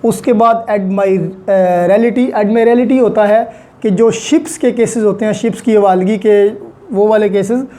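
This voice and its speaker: Mellow-voiced Pakistani law lecturer. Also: medium pitch. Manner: deliberate, repeats and explains terms, mixes in English legal terms. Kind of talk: explanatory lecture.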